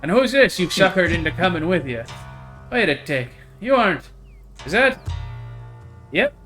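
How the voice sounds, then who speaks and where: A man voicing a gruff, blunt old country man with folksy, suspicious talk in a visual novel. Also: high voice, sing-song, moderate pace, fairly bright tone, soft background music, voice pushed up. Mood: surprised, suspicious.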